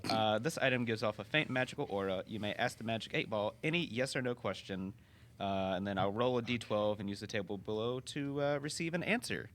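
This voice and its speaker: A man's voice: lowish voice, a little fast, a bit bright. A man playing a tabletop game, casually reading item descriptions aloud, with faint noise behind him.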